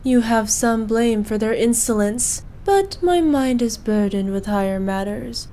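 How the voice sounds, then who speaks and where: A woman's voice: fairly high pitch, dark tone, slight background noise. A woman playing an evil, imperious queen in a scripted roleplay, speaking in a haughty, formal, commanding manner. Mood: regal, weary. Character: evil imperious queen, cruel to subjects, doting on her knight. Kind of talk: scripted ASMR roleplay acting.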